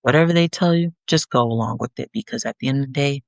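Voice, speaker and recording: Sassy young woman podcast host, gravelly fry in her voice, dry close sound, teasing the audience. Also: a bass voice, quick pace, dry recording. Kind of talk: casual monologue to listeners.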